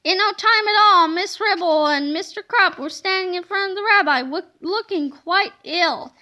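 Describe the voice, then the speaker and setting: A woman reading a children's book aloud as an expressive, emphatic storyteller. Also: very high voice, normal speed.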